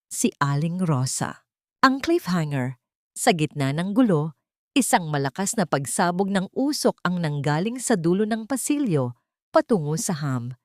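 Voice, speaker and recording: A woman's voice: fairly low pitch, no echo, studio quality. A woman narrating a scripted drama in a theatrical, suspenseful way.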